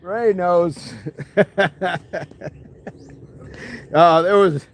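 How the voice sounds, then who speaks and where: A man's voice: sits high, takes it slowly, a little hiss or hum. Chatty man hosting a livestream. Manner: chatty, laughs often, thanks viewers by name. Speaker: fitness livestream host. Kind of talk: casual livestream chat reading comments.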